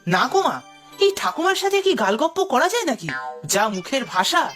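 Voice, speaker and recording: Lady doing a little village boy for a cartoon, high bouncy voice, cheeky, argues and whines. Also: sing-song, brisk, a bit bright, faint music behind. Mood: teasing complaint. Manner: pleading, teasing, argumentative. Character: cheeky, whining young village grandson. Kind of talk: Bengali cartoon voice acting.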